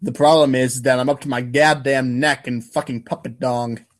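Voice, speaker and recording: A man's voice: medium pitch, steady tone, moderate pace, voice pushed down, lightly acted. A man reading the part of an exasperated, sarcastic, foul-mouthed teenage boy in a comic script reading, ranting wordily.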